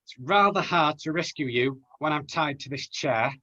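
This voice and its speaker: A man's voice: fairly high pitch, normal speed, bright, forceful tone. A man playing an earnest young pantomime hero, declaiming rhymed lines over a video call. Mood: rueful. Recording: dull lo-fi video call audio.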